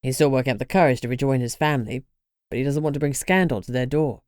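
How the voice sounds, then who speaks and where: Woman doing a gruff male vampire, stern, very low and deep, dry close sound, storybook reading. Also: steady tone, fast, darkish tone, dry recording.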